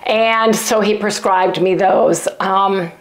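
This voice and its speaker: A sick older woman chats folksily to her viewers from her home kitchen, her voice hoarse and gravelly with frequent throat clearing. She talks in a relaxed, homey way, recorded close on a lapel mic. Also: medium pitch, takes it slowly, poor audio. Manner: chatty and folksy, addresses viewers as "you guys". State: sick, losing her voice, coughing. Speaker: keto cooking channel host. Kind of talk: casual vlog chat to viewers.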